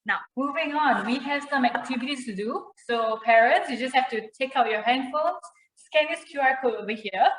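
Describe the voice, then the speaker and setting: Echoey high-voiced woman hosting for children. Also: normal speed, a bit bright, slight background noise. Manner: encouraging, explains step by step. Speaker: children's show host. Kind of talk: presenting a children's puppet show, instructing and leading activities. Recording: roomy, echoey sound.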